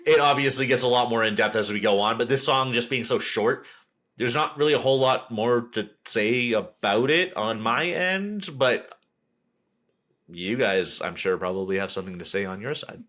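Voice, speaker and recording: A man's voice: medium pitch, animated, moderate pace, fairly bright tone. A man talking casually as a podcast host in a music review, rambling and enthusiastic.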